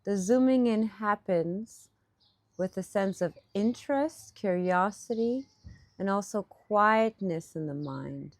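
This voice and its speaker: Slow-paced woman guiding a meditation. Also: mid-range voice, dry recording. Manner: unhurried, gentle phrasing with pauses. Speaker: guided meditation teacher. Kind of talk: guided meditation, softly instructive and partly improvised. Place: outdoors in a park. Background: birdsong.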